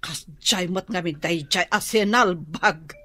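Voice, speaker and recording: An actress playing a fretful, nagging middle-aged rural wife in an Ilocano radio drama, speaking with an Ilocano accent. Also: female voice, lowish voice, a little slow, bright, forceful tone, no room sound. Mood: worried, complaining.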